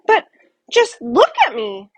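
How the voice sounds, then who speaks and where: A woman's voice: high voice, sing-song, takes it slowly, fairly bright tone, no echo, voice pushed up, exaggerated voice. A woman voicing a worried, polite little schoolgirl in a storybook, meek and hesitant.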